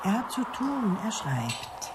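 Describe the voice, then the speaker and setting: An actress playing a wary, scolding, superstitious innkeeper's wife in a radio drama, admonishing and anxious. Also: female voice, medium pitch, big pitch swings, slow pace, background noise. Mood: warning, fearful. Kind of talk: 1970s German radio drama acting.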